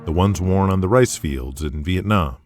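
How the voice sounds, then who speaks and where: A man's voice: low-pitched, normal speed, no room sound, faint music behind. A man narrating a scripted audio drama.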